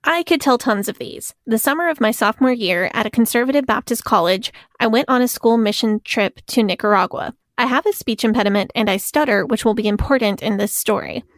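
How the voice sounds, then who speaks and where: Woman podcast host, earnest chatty storyteller, higher voice, dry close recording. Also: fairly quick, few pauses, no echo. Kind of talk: casual podcast chat.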